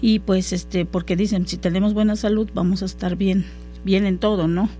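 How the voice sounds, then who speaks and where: A woman whose first language is Totonac answers interview questions in a mellow, soft-edged voice. She talks haltingly, circling back with fillers and restarts. Faint music plays underneath. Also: mid-range voice, steady tone. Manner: hesitant, many fillers and restarts. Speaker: guest interviewee. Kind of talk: conversational interview.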